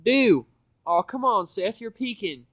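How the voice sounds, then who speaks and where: Man putting on a high-pitched eager little filly. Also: expressive, unhurried, a bit bright, dry recording, lo-fi sound, exaggerated voice. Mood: complaining. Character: young filly pony, girlish, eager and pleading.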